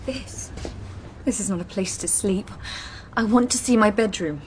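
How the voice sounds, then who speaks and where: A woman's voice: mid-range voice, unhurried, a little hiss or hum, close to an everyday voice. A woman playing a weary, unhappy young bride of genteel birth in a radio drama. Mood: weary indignation.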